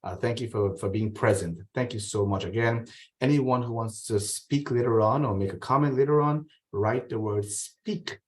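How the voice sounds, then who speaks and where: A man hosting a community town hall on a video call, warm and effusive, repeating praise for guests and staff. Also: mid-range voice, moderate pace. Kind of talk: meeting moderation.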